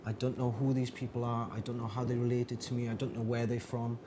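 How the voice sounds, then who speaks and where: A man's voice: mid-range voice, little pitch movement, quick pace, noisy background. A man leading a digital storytelling workshop, giving a reflective, informal talk with many restarts.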